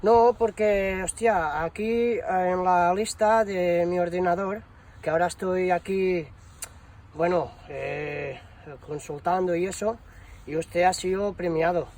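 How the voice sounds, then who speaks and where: A high-pitched, slow-talking man acting as a bumbling promotions employee. He rambles through a prank phone call with hesitant stammers and casual slang. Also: fairly even intonation, a little mellow. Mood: chatty, hesitant. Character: bumbling shoe shop promotions employee announcing a prize. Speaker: prank caller.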